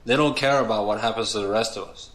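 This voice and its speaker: A man's voice: fairly low pitch, quick pace, a bit bright, lightly acted, poor audio. Earnest, anxious family man, played by a man. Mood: bitter. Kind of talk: audio drama acting.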